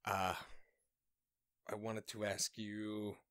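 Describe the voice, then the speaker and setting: A man playing a nervous, awkward young boyfriend, soft and hesitant, in romantic comedy style. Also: on the low side, flat delivery, extremely slow, dry recording, studio quality. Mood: nervous, tender.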